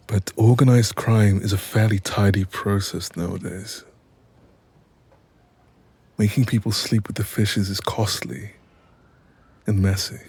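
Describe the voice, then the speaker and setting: A man playing an apologetic, exasperated mafia boss in an audio roleplay, with a husky voice and a wry, sweary, chatty manner. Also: on the low side, warm tone, no room sound. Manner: wry, sweary, chatty, calls listener doll. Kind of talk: audio roleplay acting, intimate one-sided dialogue.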